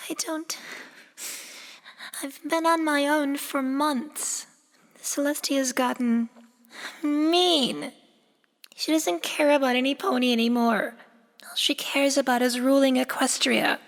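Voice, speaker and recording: A woman playing an exiled pony princess in a fan radio drama, distressed, gentle and pleading, speaking haltingly. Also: high voice, fairly even intonation. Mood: grieving. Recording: long reverberant hall.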